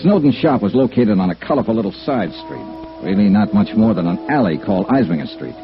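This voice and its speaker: An actor playing a wry, dogged insurance investigator in clipped, casual 1950s radio noir style. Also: male voice, on the low side, big pitch swings, quick pace. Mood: wry narrating. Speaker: lead actor and narrator of a radio detective series. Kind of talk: 1950s radio noir acting and first-person narration. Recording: muffled vintage radio recording with music.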